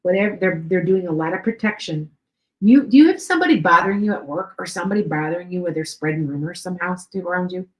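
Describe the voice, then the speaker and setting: A woman's voice: lowish voice, lively intonation, fast. A middle-aged woman with a Chicago and Wisconsin accent, a psychic medium giving live-stream readings to viewers. She talks chattily, repeats herself and often checks whether her words make sense. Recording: echoey room.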